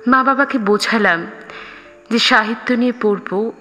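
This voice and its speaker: A woman reading a scripted first-person story expressively, with dramatic pauses. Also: fairly high pitch, bright, forceful tone. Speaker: audio story narrator. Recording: reverberant, music underneath. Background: background music.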